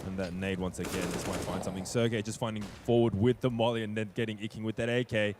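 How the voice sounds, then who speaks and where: A man doing rapid, excitable play-by-play commentary on a match. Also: fairly low pitch, background noise. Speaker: esports caster.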